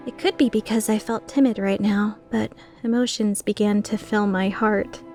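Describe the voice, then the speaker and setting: A woman playing an earnest, timid, tender-hearted young woman in a dubbed visual novel, speaking in a measured way. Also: mid-range voice, fairly even intonation, darkish tone, faint music behind, close to an everyday voice. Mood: touched, shy. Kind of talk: dubbed visual novel acting with inner narration.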